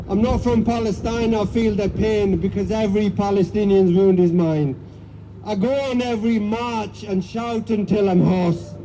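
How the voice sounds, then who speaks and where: A man's voice: sits high, mellow, a little hiss or hum, poor audio. A man at an outdoor street rally who reads out a heartfelt poem after a few words to the crowd. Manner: plain, heartfelt recitation with fillers. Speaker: rally speaker reading a poem. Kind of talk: reading a poem at a street protest.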